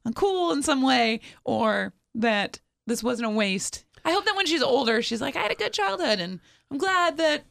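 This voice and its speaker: A woman's voice: high-pitched, sing-song, moderate pace, creaky, hardly any pauses, a bit bright, no echo, clean studio sound. A woman guest on a talk show, speaking earnestly and reflectively, often quoting her own thoughts. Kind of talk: candid personal conversation.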